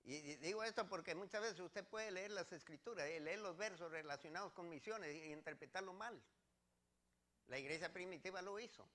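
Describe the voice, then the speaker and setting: A man's voice: high voice, moderate pace, pushed and bright. A man with a Mexican accent preaching as a pastor, teaching scripture step by step to a congregation with emphatic, repeated phrases.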